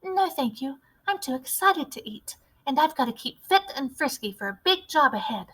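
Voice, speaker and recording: Here is a small, brave mouse who was once a boy, acted out by a woman reading a storybook. The voice is high and bright, with an earnest, excitable eagerness. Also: normal speed, rough recording. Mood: excited.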